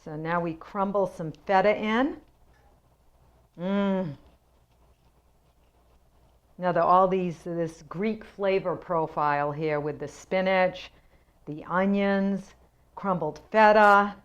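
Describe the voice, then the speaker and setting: A woman hosts a home cooking demonstration in a slightly low, chatty voice, walking through each step in a homey way. The sound is a bit dull and lo-fi. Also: takes it slowly, long pauses. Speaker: cooking show host. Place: home kitchen.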